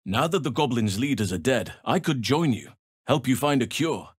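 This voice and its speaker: An actor playing an earnest, battle-seasoned druid and protector of nature in a fantasy video game, speaking in a measured, solemn manner with formal, old-fashioned phrasing. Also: male voice, medium pitch, sing-song, slightly rough, no room sound, clean studio sound. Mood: grave, earnest.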